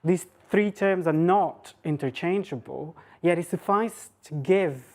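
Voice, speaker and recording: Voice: mid-range voice, unhurried, a little mellow. A woman lecturer giving an academic public talk, mixing scholarly phrasing with informal asides.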